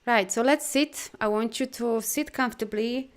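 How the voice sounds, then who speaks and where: A woman with a Polish accent, a native Polish speaker, leading a live video talk as a life coach. She speaks in an animated, encouraging way with loose grammar and many "you know"s. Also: fairly high pitch, smooth. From Poland. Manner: soft, slow guidance during breathing. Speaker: life coach and energy healer.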